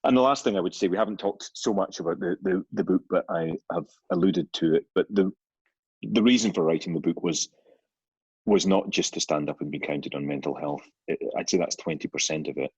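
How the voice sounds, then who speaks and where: Scottish man, middle-aged, very deep husky voice, halting wry guest, muffled dry audio. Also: darkish tone, no echo. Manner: hesitant, many restarts and fillers, wry humor. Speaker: guest author. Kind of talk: casual interview chat.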